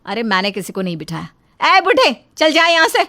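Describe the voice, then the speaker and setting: A woman voicing a vain, bossy middle-aged mother-in-law, loud and imperious, in a storybook tale. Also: on the high side, very animated, a little fast, no echo, pitched above the performer's own voice, exaggerated voice. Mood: angry. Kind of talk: storybook character acting.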